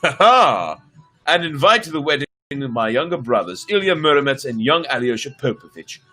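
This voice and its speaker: A man's voice: mid-range voice, very animated, moderate pace, sharp tone, faint music behind. A man voicing a bumbling, cocky folk-tale hero in a theatrical, exclaiming manner for a storybook reading. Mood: gleeful.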